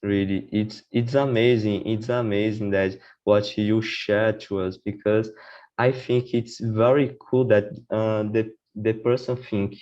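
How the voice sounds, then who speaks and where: A man speaks, talking slowly and deliberately in a relaxed podcast chat. He stumbles and restarts often and tags his points with "right?". The audio sounds dull and lo-fi. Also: lowish voice. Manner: halting, many restarts, repeats "right?". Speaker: podcast guest. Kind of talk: casual conversation.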